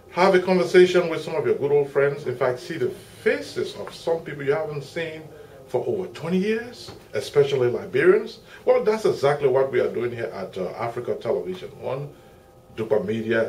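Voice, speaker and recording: A man's voice: fairly high pitch, darkish tone. A middle-aged man hosting an interview from a small makeshift studio, with expansive, chatty patter. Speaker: interviewer and show host. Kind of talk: community TV interview.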